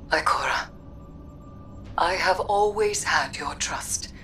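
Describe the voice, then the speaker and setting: Low, solemn, vengeful sorceress. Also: female voice, a bit bright. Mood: pleading, resolute. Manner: solemn, formal, deliberate. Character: brooding, vengeful female occult sorceress, solemn and defiant. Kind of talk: theatrical video game dialogue. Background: music.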